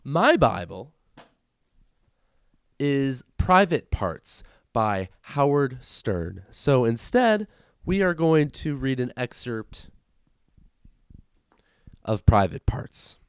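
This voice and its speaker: Man with swinging pitch, dry close sound. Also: sing-song, extremely slow, long pauses, clean tone, no room sound. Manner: irreverent, casually profane. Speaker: host of a comedic reading podcast. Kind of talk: amateur reading aloud with casual asides.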